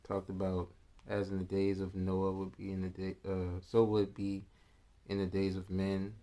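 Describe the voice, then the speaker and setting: A man's voice: deep voice, monotone, moderate pace, mellow. A man vlogging a casual reaction, musing aloud in a loose, slangy way with restarts and asides. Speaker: vlogger reacting to news clips.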